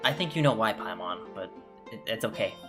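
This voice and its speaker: A woman with a very low voice comments casually on a game. Her intonation moves around a lot as she reads lines aloud, with clear game music behind. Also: animated, a little fast. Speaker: gaming streamer.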